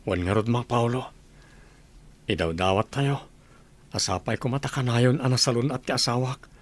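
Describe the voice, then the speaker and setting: A man's voice: mid-range voice, moderate pace, lightly acted. An actor playing a troubled husband and father in a village radio drama, speaking in a weary, emotional way.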